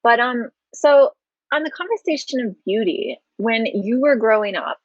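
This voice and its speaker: A woman hosting an interview show, talking casually with frequent excited exclamations. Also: a little high, moderate pace, dry recording, dull audio. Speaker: host.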